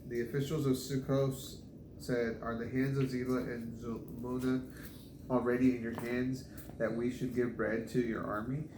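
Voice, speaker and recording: A man's voice: medium pitch, level tone. Man reading scripture in an echoey room. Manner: halting, stumbles over unfamiliar names. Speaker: scripture reader. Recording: echoey room with background noise.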